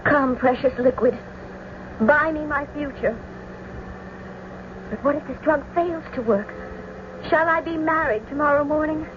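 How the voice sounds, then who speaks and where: A high-voiced young noblewoman of classical radio tragedy, despairing and devoted. She declaims her lines with solemn, poetic weight. The old recording is dull, with clear noise over it. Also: measured pace, long pauses. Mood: anxious, resolute.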